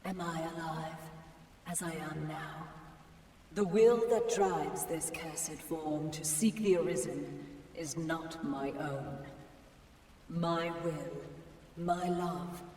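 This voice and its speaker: A man voicing a weary, cursed male being bound by an alien will, speaking slowly and solemnly in a game. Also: fairly high pitch, darkish tone. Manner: slow, solemn, brooding. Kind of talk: game voice acting. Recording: echoey hall from far off, faint noise.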